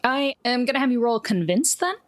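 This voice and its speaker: A woman's voice: fairly high pitch, expressive, a little fast. A woman running a tabletop game as game master, improvising narration in an informal, conversational way.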